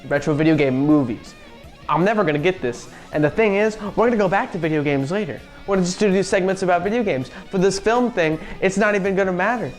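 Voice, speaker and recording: A man's voice: high voice, fast talker. A man co-hosting a semi-scripted video review in a sardonic way, as commentary. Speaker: co-host. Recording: music behind the voice.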